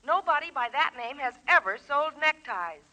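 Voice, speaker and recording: A woman's voice: sits high, expressive, normal speed, sharp tone. An actress playing a detective's loyal, exasperated female assistant in a 1950s radio drama, speaking with urgency. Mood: alarmed, urgent.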